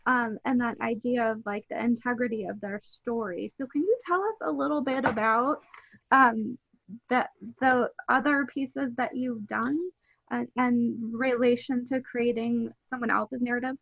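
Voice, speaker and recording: A woman's voice: a little high, fairly even intonation, measured pace, vocal fry, no echo. A woman host moderating a video-call talk, asking long thoughtful questions with frequent ums. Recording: dull lo-fi sound.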